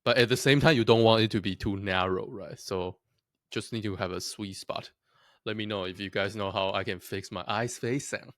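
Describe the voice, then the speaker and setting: Low-voiced chatty young man vlogging. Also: moderate pace, a bit bright, no room sound, studio quality. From Taiwan. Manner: chatty, self-deprecating, laughs often. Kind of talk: casual talk to camera.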